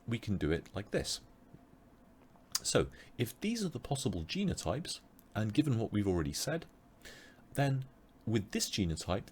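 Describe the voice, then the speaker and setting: Man, biology tutor, online revision lecture, deep voice with a lot of rise and fall, methodical, slightly strained voice. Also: darkish tone, slight background noise. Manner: methodical, explains step by step with frequent "uh" pauses. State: losing his voice.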